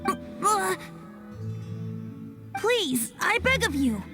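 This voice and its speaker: A young male bard in a video game, with a light, boyish, high voice. The delivery is theatrical and animated, sliding between teasing and cajoling, with faint music behind. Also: very slow, exaggerated voice. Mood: pleading. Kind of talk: video game character acting.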